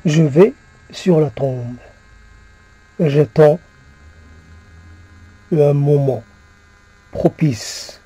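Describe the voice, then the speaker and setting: A man reciting poetry in a solemn, halting manner, repeating phrases as he goes. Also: a little high, mellow, breathy edge, low-quality recording. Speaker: poet reciting own poem.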